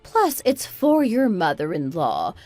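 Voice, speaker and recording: Casting: spiteful mother-in-law, early sixties, played by a woman, swooping pitch, condescending, radio drama, close dry sound over music. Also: wildly swooping pitch, slow pace, a little mellow, dry recording, full character voice. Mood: scolding, contemptuous. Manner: berating, condescending, dramatic exclamations. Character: spiteful, self-righteous 62-year-old mother-in-law, scolding. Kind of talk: scripted radio drama dialogue.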